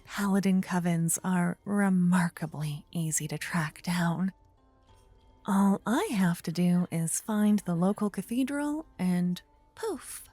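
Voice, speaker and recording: Soft, breathy adorable paladin girl, acted by a woman. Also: mid-range voice, dry recording. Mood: fond, playful. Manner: playful, soft, stammering when flustered. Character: adorable young woman, aspiring paladin, caring, flustered, teasing. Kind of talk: ASMR roleplay acting.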